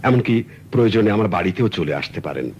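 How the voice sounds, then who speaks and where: Man as earnest middle-aged client, courteous, lively up-and-down voice, old radio recording with faint hiss. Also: on the low side, quick pace, muffled recording, close to an everyday voice. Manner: courteous, earnest, explanatory storytelling. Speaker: client telling his story. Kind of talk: 1970s radio drama acting.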